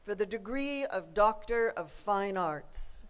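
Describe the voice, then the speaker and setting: A woman presenting an honorary degree, reading a formal citation in a measured way at an outdoor ceremony. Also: medium pitch, animated, noisy background.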